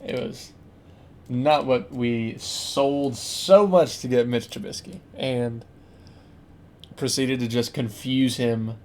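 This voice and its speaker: A man's voice: medium pitch, fairly even intonation, slow, a little mellow. A man hosting a podcast, talking sports casually with friends. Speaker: podcast host.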